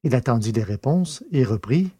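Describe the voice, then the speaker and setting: A man reading scripted science fiction narration in a measured, literary way. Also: mid-range voice, animated, warm tone, husky, no room sound, clean studio sound. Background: music.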